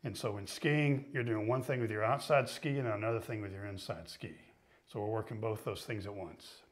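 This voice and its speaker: A middle-aged man coaching ski fitness, explaining each exercise calmly while he demonstrates it in a studio. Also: fairly low pitch, a little fast. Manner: patient, explanatory, talks while moving.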